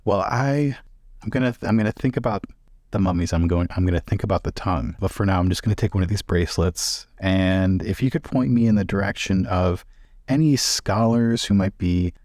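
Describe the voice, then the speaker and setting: A man playing a tabletop roleplaying game, improvising in a measured, polite, slightly tentative way. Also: low-pitched, darkish tone, dry recording, studio quality.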